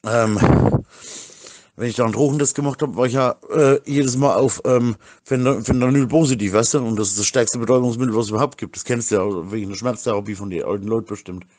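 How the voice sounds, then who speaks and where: Middle-aged man, medium pitch, casual and slangy, rambling voice-message delivery with frequent repeats. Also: fast, a little mellow.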